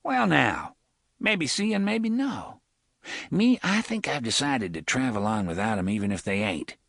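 A man's voice: mid-range voice, very animated, a little slow, creaky, a bit bright, husky, dry recording, clean studio sound, heavily acted. A man voicing a crude, sly outlaw who talks in a lazy, folksy drawl.

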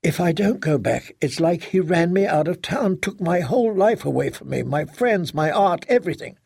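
A man voicing a frightened, fragile grown daughter in an audiobook, halting and stammering as she pleads like a child. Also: fairly high pitch, expressive, vocal fry, darkish tone, husky, dry recording, studio quality, voice pushed up, exaggerated voice. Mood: scared, insistent. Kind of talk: dramatic audiobook character reading.

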